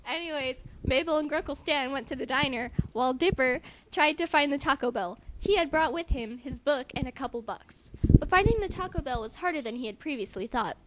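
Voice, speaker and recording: A woman's voice: sits high, fairly quick, few pauses, fairly bright tone. A woman doing an amateur mock-dramatic story reading at home, mixed with casual commentary. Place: friend's house. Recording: faintly noisy amateur home recording.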